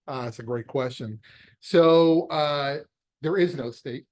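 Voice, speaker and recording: A man's voice: fairly high pitch, takes it slowly, a little mellow. A middle-aged American man giving a conversational medical lecture, explaining emphatically and posing rhetorical questions. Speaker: physician lecturer, pediatric epilepsy surgeon.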